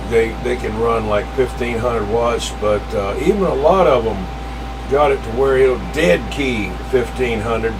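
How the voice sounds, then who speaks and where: A man hosting a radio check-in, chatting casually in a folksy, rambling way. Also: mid-range voice. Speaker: CB radio net host. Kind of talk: casual chat. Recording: noisy CB radio check-in, steady hum.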